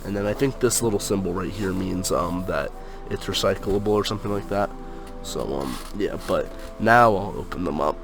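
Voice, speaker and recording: Young man, very low voice, congested with a cold, rambling unboxing chat, many fillers, clear music behind. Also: normal speed. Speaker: sneaker unboxing vlogger.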